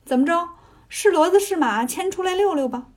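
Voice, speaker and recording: A woman's voice: very high voice, animated, brisk, pitched above the performer's own voice. A woman voicing a cocky male monkey hero in a story, brash, mocking and casual. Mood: teasing.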